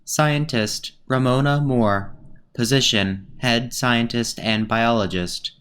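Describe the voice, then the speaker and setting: A man's voice: medium pitch, slow pace, a bit bright, clear, resonant tone. A man playing a formal log announcer in a radio play, reading out details in clipped phrases. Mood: flat, formal. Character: formal male log announcer in a science drama.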